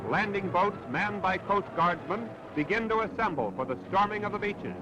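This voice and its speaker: A man's voice: sits high, expressive, moderate pace. An American man reading a scripted wartime newsreel in a dramatic, declamatory 1940s style. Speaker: newsreel narrator. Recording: dull, very noisy 1940s newsreel soundtrack.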